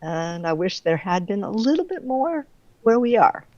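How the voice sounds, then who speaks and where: A middle-aged woman chatting casually as an author in an interview, animated and quick to laugh. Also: mid-range voice, highs cut off. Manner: animated, laughs readily through her anecdotes.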